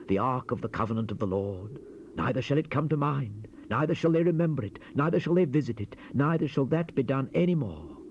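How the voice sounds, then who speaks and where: A man's voice: on the low side, darkish tone, lightly acted. A man playing a solemn Old Testament prophet who declaims archaic scripture in a dramatized Bible recording. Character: solemn male Old Testament prophet speaking God's reproaches. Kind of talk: dramatized King James scripture reading. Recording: muffled, hissy 1970s cassette rip. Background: ambient sound effects with birdsong.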